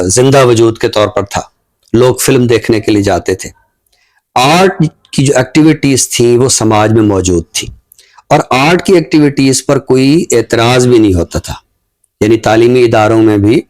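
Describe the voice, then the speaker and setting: A middle-aged man speaks in a fairly low voice with a Pakistani accent. He sounds like a thoughtful commentator in conversation, setting out his points deliberately and pausing partway through sentences. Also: darkish tone. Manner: explanatory, deliberate, with pauses mid-sentence. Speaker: literary talk show commentator. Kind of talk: reflective social commentary in conversation.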